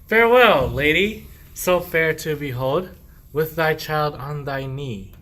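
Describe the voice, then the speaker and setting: Man acting a slow-spoken humble medieval shepherd. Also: a little high, bright, forceful tone. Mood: joyful reverence. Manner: declamatory, slow rhymed verse. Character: humble medieval shepherd, wronged yet devout. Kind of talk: amateur radio play, verse mystery play.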